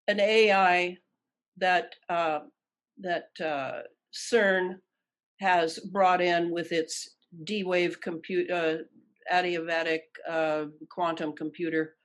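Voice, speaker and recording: An older woman with an American accent answering in an interview, deliberate and emphatic, repeating phrases for weight. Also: lowish voice. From Detroit, United States. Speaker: interview guest.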